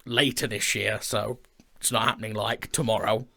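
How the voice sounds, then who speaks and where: A man's voice: fairly low pitch, little pitch movement, moderate pace, vocal fry, sharp tone. A man co-hosting a casual studio chat about his creative work, speaking in an informal, enthusiastic way. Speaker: co-host, audio drama creator and film director. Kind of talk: casual chat, announcing projects. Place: indoor studio.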